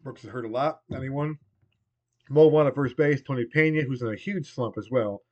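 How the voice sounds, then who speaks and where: This is a man in his forties, host of a baseball replay, whose voice is worn hoarse by a lingering cold. He rambles loosely and often trails off mid-thought. The slightly muffled home recording makes his voice sound a bit dull. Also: medium pitch, normal speed. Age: 40s. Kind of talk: casual play-by-play of a baseball game replay.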